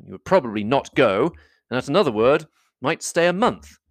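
A reader voicing a proud, reserved gentleman in a classic novel audiobook, with measured, formal speech. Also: medium pitch, sing-song, no echo. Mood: critical.

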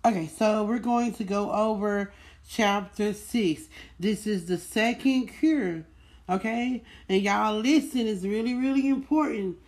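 A woman's voice: mid-range voice, measured pace, a little mellow. Female vlog host, informal and conversational, talks directly to followers and repeats points for emphasis. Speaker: host of a book club vlog. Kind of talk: casual chat to followers.

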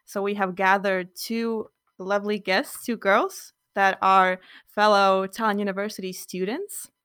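A woman's voice: medium pitch, flat delivery, measured pace, fairly bright tone, clear, resonant tone, dry recording, clean studio sound. A young woman hosting a casual student podcast, chatting loosely with some fillers. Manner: friendly, loosely improvised with fillers. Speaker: podcast host.

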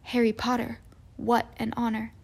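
A woman voicing an old male bartender, awestruck and reverent, speaking in a hushed way in a storybook reading. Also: fairly high pitch, slow.

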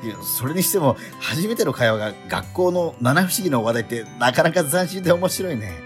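A man's voice: on the high side, very animated, normal speed, faint music behind, voice pushed up. A man playing a polite, curious teenage transfer student in a radio drama, with apologetic, puzzled interjections. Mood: shy, friendly. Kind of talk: conversational radio drama acting.

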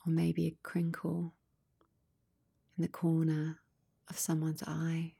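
Slow, hushed, husky woman guiding meditation. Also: sits low. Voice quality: soft, breathy, husky, near-whisper. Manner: calm, unhurried, long pauses between short phrases. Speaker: guided meditation leader.

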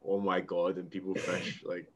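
A man with a Scottish accent casually telling anecdotes in an informal, sweary way, quick to laugh. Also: deep voice, completely flat delivery. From Scotland. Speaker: podcast guest.

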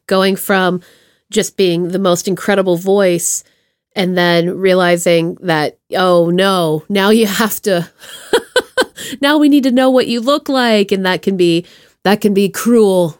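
A woman's voice: medium pitch, takes it slowly, no echo. Informal woman podcast host, dry close sound. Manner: informal, slangy, expressive. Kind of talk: casual chat, interviewing.

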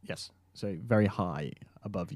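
A man co-hosting a comedy podcast, delivering absurd jokes deadpan. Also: deep voice, unhurried, slight background noise. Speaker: podcast co-host.

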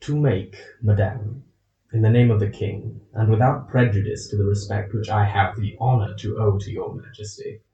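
A man playing a sinister, coldly polite royal chancellor in a period audio drama, formal and deliberate. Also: lowish voice, dark tone, dull audio, close to an everyday voice. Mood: formal, menacing. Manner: formal, over-courteous, deliberate. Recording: roomy echo, distant from mic.

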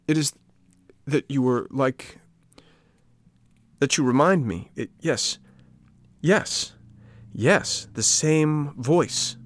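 An eager wooden puppet boy from a storybook, put on by a male reader. His voice climbs high and loud as he pleads in breathless, tearful bursts. The recording is dry and close. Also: very animated, moderate pace, no room sound. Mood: astonished.

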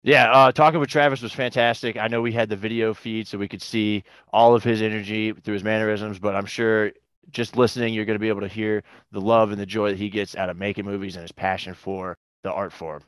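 Flat, lowish male podcast co-host. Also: a little fast, dry recording, low-quality recording. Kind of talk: casual podcast chat.

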